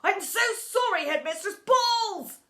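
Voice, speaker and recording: A woman voicing a blustering, obsessive male schoolteacher in a booming, bellowing manner for a children's story. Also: a little fast, bright, forceful tone. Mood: flustered.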